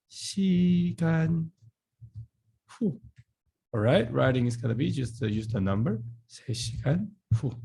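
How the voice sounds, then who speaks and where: A man who speaks English, teaching in a live online class. He explains patiently, repeats words slowly and acts out short dialogues. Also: mid-range voice, vocal fry, dark tone, low-quality recording. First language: Korean. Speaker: Korean language teacher.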